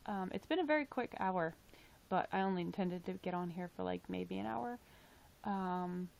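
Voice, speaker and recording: Tired raspy young woman chatting. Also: lowish voice, normal speed, a little mellow. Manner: rambling, chatty, swears casually. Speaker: live streamer. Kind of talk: casual live-stream chat.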